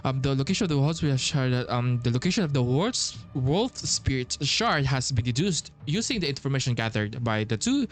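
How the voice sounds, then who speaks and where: Guy streaming a game, mid voice, reads stuff out and restarts words, bit of music. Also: mid-range voice. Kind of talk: casual playthrough, reading game text aloud.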